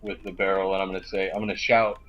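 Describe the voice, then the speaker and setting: A man playing a live role-play game over online voice chat, haltingly describing his actions and often restarting. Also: deep voice, little pitch movement. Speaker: tabletop role-play player. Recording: dull lo-fi online voice chat, noisy.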